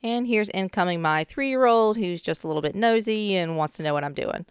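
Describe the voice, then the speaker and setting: A young woman, a little hoarse from a cold, casually narrating her own renovation footage with chatty asides. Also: medium pitch, a little fast, no echo, clean studio sound. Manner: chatty, relaxed, with frequent "um" asides. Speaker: DIY renovation vlogger.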